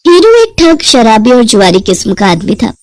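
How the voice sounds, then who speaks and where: A woman tells a moral story from a script. Her voice is notably high, and she speaks as a storyteller narrating events. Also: very animated, moderate pace, dry recording.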